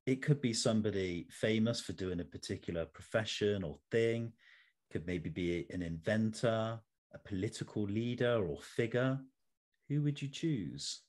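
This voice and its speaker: Male interviewer, lowish voice, laid-back and a little halting, reading out questions to a guest. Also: animated, darkish tone. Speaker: interview host. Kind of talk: scripted interview questions with casual chat.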